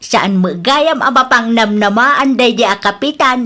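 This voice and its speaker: Babaeng gumaganap na matinis at mareklamong Ilokana. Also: female voice, on the high side, unhurried, bright, forceful tone. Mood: complaining. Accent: Ilocano, northern Philippines. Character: gossipy, grumbling village mother. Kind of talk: Ilocano audio drama acting.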